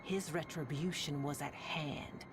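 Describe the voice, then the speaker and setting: A woman playing a sardonic, world-weary radio host in a sci-fi game, telling stories in a deliberate, ominous, wry way with long pauses over music. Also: low-pitched, fairly even intonation. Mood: dark, suspenseful. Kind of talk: slow dramatic monologue to listeners, storytelling.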